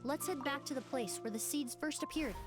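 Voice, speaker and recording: An actress playing a calm, analytical young woman perfumer in a game, speaking in a composed, measured way. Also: high-pitched, music in the background, natural voice. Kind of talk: game cutscene voice acting.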